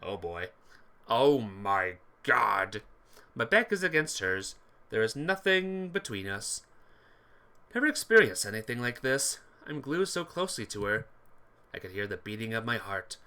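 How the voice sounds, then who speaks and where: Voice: medium pitch, big pitch swings, measured pace, pushed and bright. A streamer reading a visual novel aloud expressively, with casual asides to viewers. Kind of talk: game playthrough narration with chat asides.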